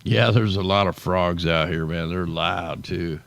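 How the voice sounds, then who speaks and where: A man with a very low, gravelly voice talks casually through a weather commentary. He sounds tired and hoarse, as if his voice is giving out. The sound is close and dry, with no room around it. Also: a little slow, fairly bright tone, no room sound. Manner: loose, conversational, drawls "man" at line ends. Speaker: show host. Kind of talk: casual weather commentary over satellite maps.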